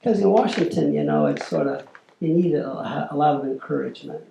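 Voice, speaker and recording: An older man giving an anecdotal lecture, an animated storyteller who acts out quotes. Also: medium pitch, brisk, gravelly, reverberant, dull audio, a little hiss or hum. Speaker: guest lecturer. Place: lecture room.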